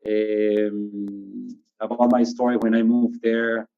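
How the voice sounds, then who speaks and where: A man's voice: monotone, slow pace, gravelly, faint music behind. A man from Ecuador, casually introducing himself as a panelist. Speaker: panelist, technology executive. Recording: muffled low-fidelity online call audio.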